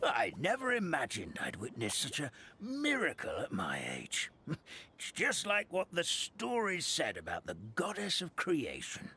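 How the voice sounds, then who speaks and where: Doddering old creature grandpa for a game: slow, creaky, kindly, folksy, music underneath. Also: male voice, on the high side, huge pitch swings, bright, forceful tone, slight background noise, heavily acted. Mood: amazed. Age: older. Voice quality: creaky, gravelly. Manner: halting, chuckling, folksy. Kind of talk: video game voice acting. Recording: music playing behind the voice.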